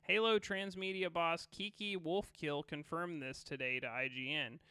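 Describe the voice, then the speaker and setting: A man's voice: a little high, steady tone, moderate pace, bright, forceful tone, clean tone, dry recording. A young man co-hosting a casual gaming podcast, reading out a news piece and chatting in a laid-back way with casual swearing. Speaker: podcast co-host.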